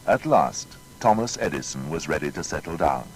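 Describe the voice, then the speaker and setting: A man's voice: sing-song, moderate pace, darkish tone. A man narrating a scripted documentary biography in a formal, storytelling manner. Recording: faint noise with low rumble.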